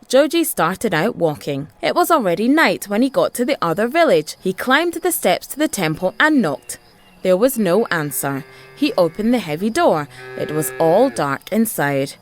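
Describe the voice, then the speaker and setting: Female storyteller for children's tales, dramatic and rhythmic, with a highly lively, swooping pitch. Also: dry recording. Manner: dramatic, rhythmic delivery with repeated phrases.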